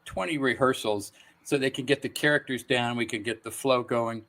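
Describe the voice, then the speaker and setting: Middle aged guy, normal pitch, chatting casually as an interview guest telling stories. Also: fairly even intonation, moderate pace, a bit bright. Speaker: guest voice actor.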